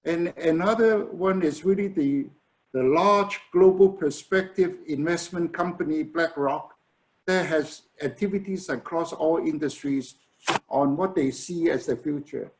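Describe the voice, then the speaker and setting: A man's voice: a little high, unhurried, a little hiss or hum, rough recording. Hesitant man moderating a business webinar. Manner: hesitant, restarting phrases with fillers.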